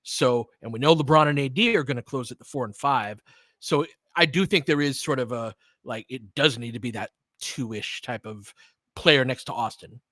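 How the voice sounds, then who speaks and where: A man hosts a live basketball audio show in a loose, conversational style. He gives opinions and addresses listeners directly in informal, slangy phrasing. His mid-pitched voice is recorded dry, with very little room sound. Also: normal speed, a bit bright, dry recording. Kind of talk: casual live sports discussion with loose play-by-play.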